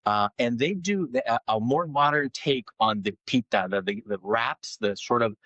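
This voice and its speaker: Man from Greece, podcast guest, easygoing, chatty, rambling, medium pitch, dry close recording. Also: normal speed, bright, forceful tone, no room sound, lo-fi sound. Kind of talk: casual interview chat.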